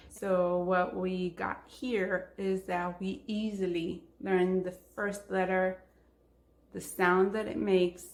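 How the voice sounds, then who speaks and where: A woman's voice: mid-range voice, echoey room. A woman from Israel, a native Hebrew speaker with an Israeli accent, giving an instructional talk as a language teacher and stressing key words.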